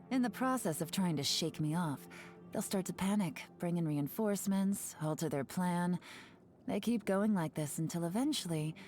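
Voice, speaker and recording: A sly young woman spy in a video game, with a fairly low, mellow voice. She speaks in an unhurried, teasing, secretive manner that slips into breathy asides, with music behind her. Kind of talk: video game character voice lines.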